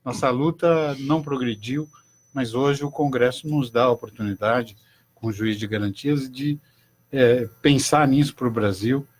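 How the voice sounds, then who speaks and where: An older man, a judge speaking in a formal, measured way as a guest on a radio talk show. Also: mid-range voice.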